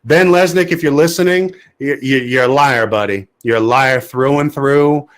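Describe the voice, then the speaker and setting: A man's voice: medium pitch, normal speed. A man co-hosting a podcast in casual chat, speaking bluntly and emphatically, often repeating words as he builds a point. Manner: emphatic, repetitive, blunt opinions. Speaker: podcast co-host.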